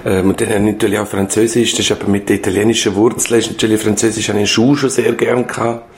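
An older man with a Swiss German accent, reflecting in an interview in a halting way, often searching for words. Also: lowish voice, level tone. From Switzerland. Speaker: former schoolteacher being interviewed.

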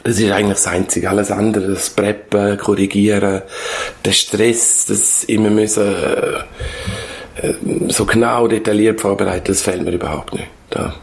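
Older swiss german guy, low voice w lots of fry, halting interview talk, keeps searching for words. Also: level tone. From Switzerland. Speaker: former schoolteacher being interviewed.

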